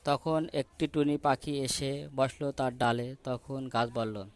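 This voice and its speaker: A man's voice: mid-range voice, steady tone, takes it slowly. A man narrating a scripted children's story.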